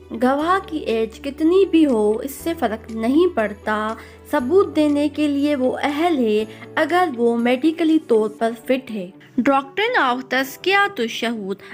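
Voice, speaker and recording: A woman with a Pakistani accent reading out a scripted lecture in a deliberate, instructional way, point by point. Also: high voice. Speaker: law lecturer.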